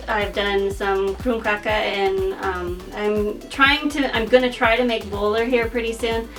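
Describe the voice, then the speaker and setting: A woman from northeastern Montana talking casually as a vlog host about her craft, relaxed and hesitant with many pauses. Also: medium pitch, recorded from a distance. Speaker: artist and shop owner.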